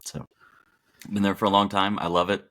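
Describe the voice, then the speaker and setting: A man from the Dallas area of Texas, a hospital chaplain, talking as a podcast guest in a relaxed, reflective way with many fillers and restarts. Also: low-pitched, a little fast. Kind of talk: casual interview talk.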